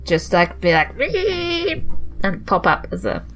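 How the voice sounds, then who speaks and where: A woman's voice: fairly low pitch, fairly even intonation, moderate pace, fairly bright tone, soft background music. A woman chatting casually as a player in a tabletop role-playing game.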